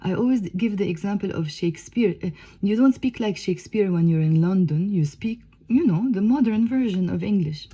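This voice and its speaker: A woman's voice: medium pitch, lively intonation, a little fast, warm tone, husky. A Lebanese woman, a native Arabic speaker, explaining things as a teacher in a conversational, emphatic way, often checking in with "okay?".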